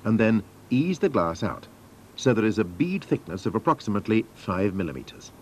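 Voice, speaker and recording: A man's voice: fairly low pitch, sing-song, brisk, darkish tone. Man, instructional voice-over, very varied intonation, formal and methodical, faint noise of an old video soundtrack. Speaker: training film narrator. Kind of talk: scripted instructional narration.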